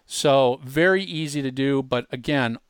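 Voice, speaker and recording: Guy walking thru a software demo, average pitch, dry recording, casual and instructive. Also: measured pace, clear, resonant tone, no echo. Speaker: presenter demonstrating software. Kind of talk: informal tutorial walkthrough.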